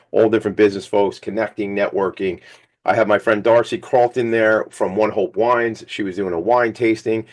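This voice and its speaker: A man hosting a radio talk show with a slightly hoarse, scratchy voice, talking in an energetic, rambling, hype-man way. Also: on the low side. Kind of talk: casual hype radio chat.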